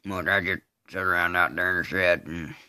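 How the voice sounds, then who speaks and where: Very low, halting Southern simpleton. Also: male voice, clear, resonant tone, no room sound, studio quality. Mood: flat, matter-of-fact. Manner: halting, deadpan drawl with grunted hums between phrases. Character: slow, simple-minded rural man confessing a killing, flatly unrepentant. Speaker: amateur voice artist. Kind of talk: dramatic monologue re-enactment of a film scene.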